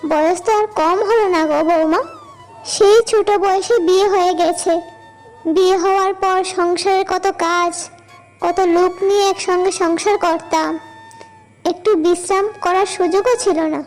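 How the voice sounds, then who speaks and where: A woman's voice: very high voice, fairly even intonation, normal speed, poor audio. A woman voicing a frail, elderly, long-suffering village mother-in-law in a cartoon, weary and plaintive. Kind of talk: cartoon dialogue acting. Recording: music bed behind voice.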